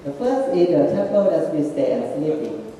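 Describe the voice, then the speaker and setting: A man giving a slide presentation in halting, non-native English with broken grammar. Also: a little high, sing-song, warm tone. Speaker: student presenter. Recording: echoey hall, far from mic.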